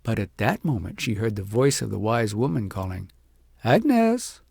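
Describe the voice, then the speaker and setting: A man narrating an audiobook in an expressive storybook style, with dramatic, deliberate phrasing. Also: lowish voice, vocal fry, breathy edge, no room sound.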